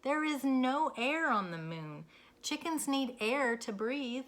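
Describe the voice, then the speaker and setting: A woman voicing a bossy, excitable know-it-all cow in a children's story, lecturing and exclaiming emphatically. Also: high-pitched, takes it slowly, pitched above the performer's own voice, heavily acted. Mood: insistent lecturing.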